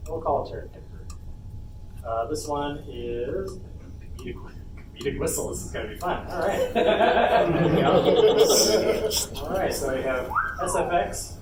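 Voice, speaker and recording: A man's voice: a little high, animated, unhurried. A man giving an informal live workshop tutorial, thinking aloud as he works through the steps on a computer. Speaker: workshop presenter. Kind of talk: live software tutorial, explaining while typing. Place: convention panel room. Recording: distant roomy mic with clear background noise.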